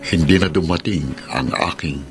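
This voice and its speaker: A man's voice: sits low, moderate pace, lightly acted. A man acting an earnest, self-pitying young suitor in a Filipino radio drama, plaintive and dramatic, with a Filipino accent. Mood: anguished. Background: music.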